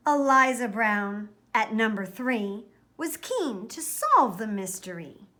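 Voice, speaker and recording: A woman's voice: fairly high pitch, big pitch swings, takes it slowly, sharp tone. A woman reading a children's picture book aloud expressively, with dramatic, careful emphasis. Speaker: storybook reader.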